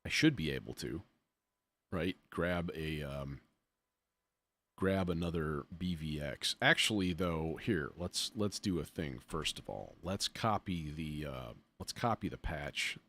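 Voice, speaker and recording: A man with a low voice talks through a music software demo on a livestream. He is informal and a bit self-deprecating, often muttering to himself as he works things out. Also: lively intonation, measured pace. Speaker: livestream host, music producer demonstrating software. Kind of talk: casual livestream demo, thinking aloud.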